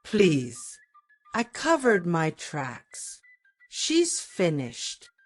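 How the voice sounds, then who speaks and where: A woman's voice: on the low side, huge pitch swings, drawn-out words, exaggerated voice. A woman plays a scheming teenage schoolgirl in a narrated story. The girl is smug, boastful and defiant, with swooping intonation over faint music.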